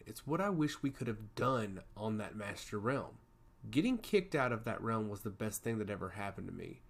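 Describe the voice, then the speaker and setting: A man voicing a charming god of chaos in a fantasy story, speaking with calm, theatrical confidence. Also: on the low side.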